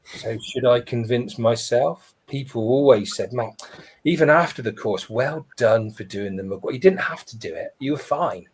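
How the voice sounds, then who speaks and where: A man telling a personal story on a live webinar, reflective and earnest, pausing and restarting as he goes. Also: medium pitch, slight background noise. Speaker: webinar panelist.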